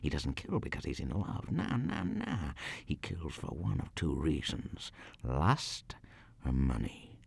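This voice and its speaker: Casting: male actor as menacing small-time killer, rock-bottom pitch, boastful and stammering, for a dramatic monologue. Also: huge pitch swings, slow, vocal fry, husky, no room sound, exaggerated voice. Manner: stammering, slangy, self-justifying.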